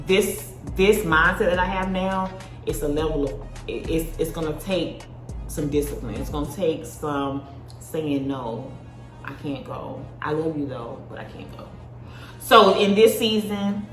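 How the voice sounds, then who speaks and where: Chatty woman in her thirties, echoey room. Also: fairly low pitch. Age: 30s. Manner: chatty, animated, talks to viewers as baby and sis; talks while eating. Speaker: vlogger. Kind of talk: casual chat to camera.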